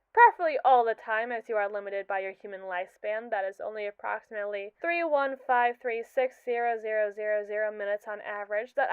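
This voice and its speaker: A woman voicing a condescending, obsessive android in an ASMR roleplay, speaking in formal, precise sentences with a smooth voice. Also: on the high side, no echo, natural voice. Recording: tinny, dry, phone-like sound.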